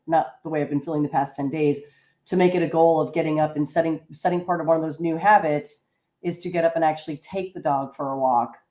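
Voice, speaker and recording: A woman hosting a radio talk show, chatty and halting, with many restarts. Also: deep voice, mellow, reverberant. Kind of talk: casual radio chat.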